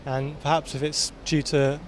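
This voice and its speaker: A man on a city street answering in a brisk, mildly incredulous way. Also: on the high side, little pitch movement, a little hiss or hum. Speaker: passer-by.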